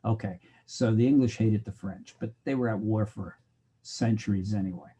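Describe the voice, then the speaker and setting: A man's voice: fairly low pitch, moderate pace, dark tone, slight background noise. An older American man giving a recorded sociology lecture, talking in a loose, conversational way with digressions, and acting out the exchanges he quotes. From United States. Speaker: sociology instructor.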